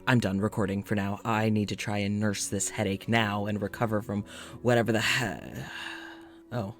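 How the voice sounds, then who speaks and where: A man playing a weary, frustrated doctor with memory loss in an audio drama, recording a spoken journal with halting, sighing delivery. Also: lowish voice, flat delivery, soft background music, natural voice. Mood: pained, weary. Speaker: voice actor playing podcast's lead character.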